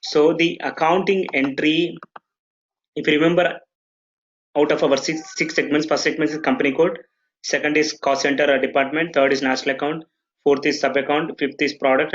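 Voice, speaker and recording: Man teaching software setup, muffled sound. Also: on the high side. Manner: explanatory, full of "okay" checks and restarts. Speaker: software trainer. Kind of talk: live online training session.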